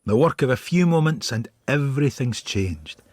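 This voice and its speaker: A man acts out a brooding Scottish detective telling his own story in a radio drama. His voice is husky and mid-pitched, and he speaks in terse, sombre, observant fragments. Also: lively intonation, normal speed, darkish tone, no echo, lightly acted. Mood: weary, brooding. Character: brooding, world-weary male detective narrating. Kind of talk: first-person radio drama narration.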